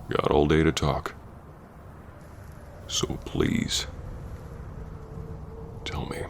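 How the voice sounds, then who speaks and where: Man acting a very deep, gravelly cowboy bounty hunter. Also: noisy background, exaggerated voice. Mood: gruff, businesslike. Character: hurt, stern male Old West bounty hunter, jilted old flame. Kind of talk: ASMR roleplay acting, spoken to the listener.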